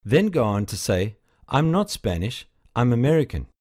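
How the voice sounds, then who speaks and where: A man's voice: medium pitch, big pitch swings, measured pace, darkish tone. A man narrating a language course, giving patient scripted prompts and encouragement. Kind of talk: scripted instruction.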